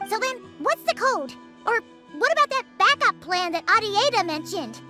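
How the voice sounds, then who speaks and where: A woman's voice: very high-pitched, big pitch swings, normal speed. A woman voicing a small, childlike fairy companion in a video game, chirpy, sassy and impatient, speaking in exclamations. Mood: curious, astonished. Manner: exclamatory, refers to herself in third person. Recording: loud background music.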